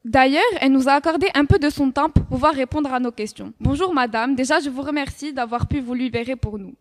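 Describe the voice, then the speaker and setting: A woman's voice: high voice, fairly quick. A teenage female student presenting a scripted radio column and asking interview questions in a formal, polite way.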